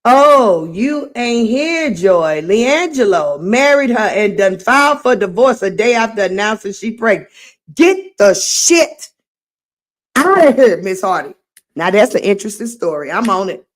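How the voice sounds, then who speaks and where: A middle-aged woman with a slightly raspy voice, hosting a casual late-night livestream and reading comments aloud in a sassy way. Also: medium pitch, animated, unhurried. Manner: sassy, drawling, reads chat aloud. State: voice getting raspy. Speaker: livestream host.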